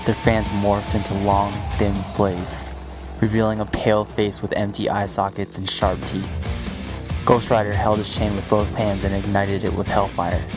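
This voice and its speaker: A man's voice: lowish voice, level tone, normal speed. A man reading scripted story narration as the narrator of an audio drama. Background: music with guitar.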